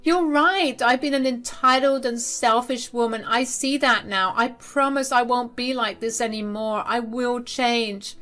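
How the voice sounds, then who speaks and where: Woman doing a spoiled grown daughter, high whiny pleading, loud and desperate, faint music behind. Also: fairly even intonation, unhurried, hardly any pauses. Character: entitled pleading middle-aged daughter Carrie.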